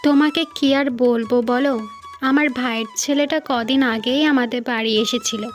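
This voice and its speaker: A woman playing an earnest, gentle-mannered village woman in a moral-story cartoon, speaking conversationally. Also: sits high, big pitch swings, moderate pace, faint music behind. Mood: persuasive.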